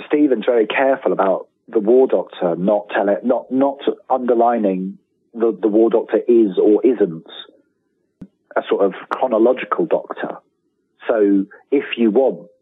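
Male interview guest, slightly low husky voice, halting and self-correcting, heard over a phone-like connection. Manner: halting, rephrases and repeats words as he thinks aloud. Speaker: guest author and lifelong fan. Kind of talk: casual interview talk. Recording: tinny, roomy telephone-like line.

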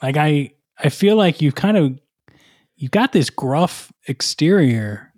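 A male podcast host with a slightly raised pitch chats with a guest and plays along with the joke in a wry, easygoing way. His voice is picked up close on a dry mic, with no room sound around it. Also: animated, slow, darkish tone, no room sound. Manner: playful, wry, goes along with the bit. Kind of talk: casual comedic interview.

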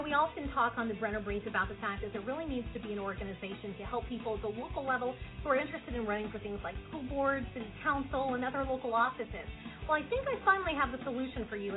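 Higher-voiced woman reading a promo. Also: animated, fast. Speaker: radio show host. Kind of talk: promo read. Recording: tinny audio over clear music.

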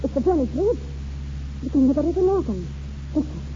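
High, lilting young sweetheart. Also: female voice, big pitch swings, brisk, warm tone. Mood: dreamy. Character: romantic, exasperated, caring young Scottish sweetheart. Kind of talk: 1940s radio acting. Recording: muffled, noisy 1940s radio broadcast.

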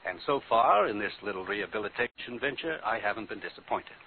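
A nice trusting manager, old radio drama, talks fast, tinny. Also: male voice, lowish voice, lively intonation. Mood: welcoming, trusting. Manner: affable, formal. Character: kindly, trusting male department store manager. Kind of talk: 1950s radio drama acting. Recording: tinny old radio broadcast, faint hiss.

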